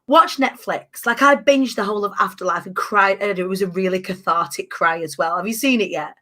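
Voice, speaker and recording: A woman in her thirties hosting a casual chat, animated and emphatic with playful asides. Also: mid-range voice, a little fast. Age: 30s. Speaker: podcast host.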